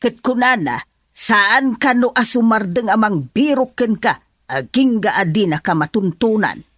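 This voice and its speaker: A woman's voice: on the high side, animated, measured pace, a bit bright, no echo, clean studio sound. A woman with an Ilocano accent playing a worried middle-aged mother in a radio drama, pleading and anxious. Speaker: mother character.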